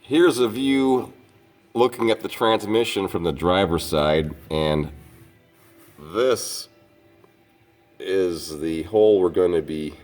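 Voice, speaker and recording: A man's voice: on the low side, darkish tone. A man working as a mechanic, explaining a repair step by step while congested from a slight cold. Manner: plain, unhurried how-to explaining. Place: auto repair shop.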